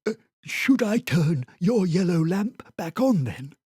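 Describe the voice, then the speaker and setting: A storyteller voicing a cheerful red lamplight creature who sounds happy and bright. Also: mid-range voice, big pitch swings, slow, breathy edge, no room sound, studio quality, pitched above the performer's own voice, full character voice.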